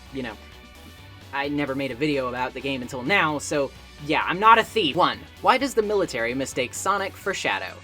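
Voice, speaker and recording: A woman's voice: very deep voice, fairly bright tone. A woman delivering scripted video essay narration in a conversational way, with wry asides.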